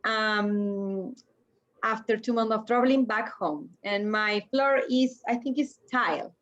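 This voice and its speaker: A woman from Argentina speaks English. Her voice sits a bit high, and the call audio is dull and lo-fi. She introduces herself plainly and warmly. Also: slow. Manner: friendly, plain self-introduction. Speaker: workshop co-lead. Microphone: video call.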